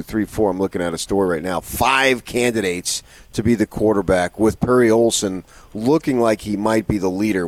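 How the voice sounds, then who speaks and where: A man's voice: low-pitched, a little hiss or hum. A man co-hosting a sports radio show, talking casually and conversationally as he builds up to questions.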